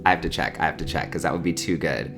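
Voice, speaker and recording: A man's voice: extremely low pitch, fast talker, over music. A man in his early thirties hosting an informal online spiritual community gathering, talking effusively and repeating words for emphasis. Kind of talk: informal livestream talk to a community.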